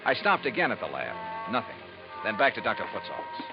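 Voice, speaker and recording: Brief: quick, animated 1950s radio private investigator, clipped and pressing, muffled old recording. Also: male voice, fairly low pitch, over music. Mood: narrating. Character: hard-driving male insurance investigator, brisk and tough. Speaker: insurance investigator lead. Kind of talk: 1950s radio drama acting. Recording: dull lo-fi 1950s radio broadcast.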